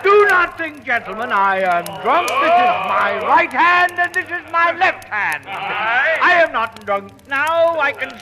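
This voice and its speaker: A well-bred, earnest lieutenant in a vintage radio drama. His voice is high for a man and swings widely in pitch. The old recording is clearly noisy. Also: very animated, a little slow, full character voice. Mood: drunken insistence. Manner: theatrical, earnest. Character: well-bred young lieutenant, courteous sober, belligerent drunk. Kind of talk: 1940s radio acting of Shakespeare.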